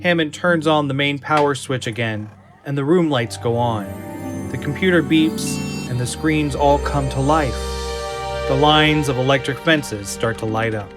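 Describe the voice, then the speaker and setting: A man's voice: mid-range voice, measured pace, music in the background. A man reading scripted scene narration for an audio drama.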